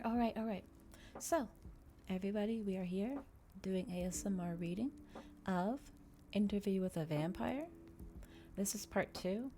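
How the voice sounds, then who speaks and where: A woman hosting an ASMR livestream, chatting casually and reading aloud in a soothing way. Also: medium pitch. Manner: soft-spoken, soothing when reading. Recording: clear background noise, fireplace crackle ambience. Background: fireplace crackles and quiet ambient music.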